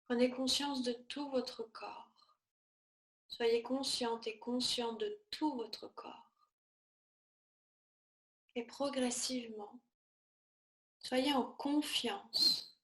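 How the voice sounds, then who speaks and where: Slow, high-voiced woman guiding relaxation. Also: fairly even intonation, fairly bright tone, echoey room. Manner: soothing, measured, short phrases with pauses. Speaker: yoga nidra teacher. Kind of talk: guided relaxation meditation.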